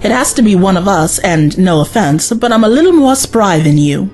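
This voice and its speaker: A woman's voice: mid-range voice, sing-song, normal speed, darkish tone, faint music behind, close to an everyday voice. A young woman playing a brave, caring female companion in a sci-fi audio drama, earnest and direct. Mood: curious, determined.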